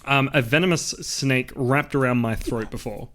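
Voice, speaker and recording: Deep-voiced man chatting casually. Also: moderate pace, fairly bright tone. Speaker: podcast co-host. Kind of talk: casual livestream chat.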